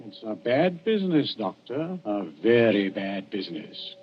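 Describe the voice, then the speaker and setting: An actor playing a genial, sly, insinuating police inspector in a 1940s radio drama, with folksy, wheedling politeness. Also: male voice, on the low side, big pitch swings, unhurried, a little hiss or hum. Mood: pointed, insinuating. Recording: muffled 1940s radio broadcast, faint music.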